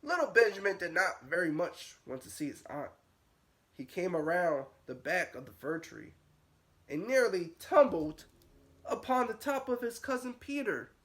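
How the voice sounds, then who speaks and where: A man's voice: high-pitched, very animated, moderate pace, a bit bright. A male nursery teacher reads a picture book to little kids. His delivery is sing-song, lifting and dropping through the sentences as he tells the tale. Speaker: nursery school teacher reading aloud. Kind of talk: storybook read-aloud for young children.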